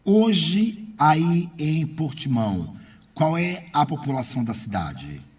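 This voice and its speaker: Husky Brazilian radio interviewer. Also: male voice, a little high, very animated, takes it slowly, a little mellow, distant mic. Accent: Brazilian Portuguese. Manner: effusive and formal, long winding questions.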